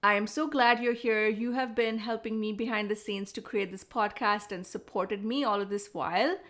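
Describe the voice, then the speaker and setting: A woman's voice: mid-range voice, steady tone, normal speed, fairly bright tone. A woman hosting a wellness podcast, introducing the show and interviewing a guest in conversation. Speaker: podcast host, Ayurvedic practitioner.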